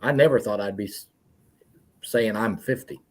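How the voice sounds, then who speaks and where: A man's voice: lowish voice, normal speed. A fifty-year-old man on a live stream panel, teasing and joking with the others. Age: 50s. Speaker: panel guest. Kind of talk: casual chat on a live stream.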